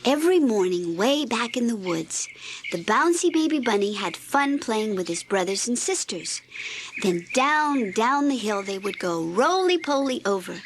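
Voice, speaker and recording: A woman reading a scripted children's read-along story in an expressive, deliberate storytelling manner. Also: on the high side, a little hiss or hum. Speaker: storybook narrator.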